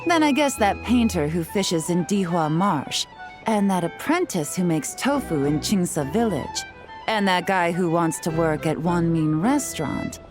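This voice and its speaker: A woman playing a shrewd government official in a video game, wry, blunt and guarded. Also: lowish voice. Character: shrewd, dry-witted female government official.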